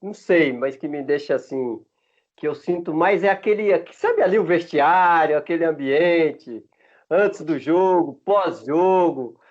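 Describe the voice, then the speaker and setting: A man in his fifties from Minas Gerais with a Minas Gerais Brazilian accent, telling stories as a former footballer in a relaxed interview, chatty and often laughing between halting restarts. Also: fairly high pitch. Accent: Minas Gerais Brazilian Portuguese. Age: 50s. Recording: dull lo-fi sound.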